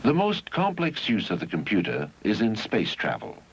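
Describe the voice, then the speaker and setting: A man reading scripted educational film narration in a measured, didactic way with deliberate phrasing, on a 1971 film soundtrack. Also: on the low side, huge pitch swings, vocal fry, husky, dull audio.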